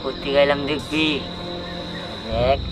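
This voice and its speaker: A man playing a menacing ghost boatman in a horror short film, slow and taunting with cackles. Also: medium pitch, steady tone, music in the background, close to an everyday voice. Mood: vengeful. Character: menacing male ghost boatman haunting a forbidden pond.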